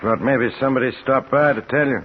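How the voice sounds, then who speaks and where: An actor playing a folksy, probing sheriff with a rural American accent in a vintage radio drama. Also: male voice, mid-range voice, fast talker, lightly acted. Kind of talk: 1950s radio drama acting. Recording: muffled, hissy vintage radio recording.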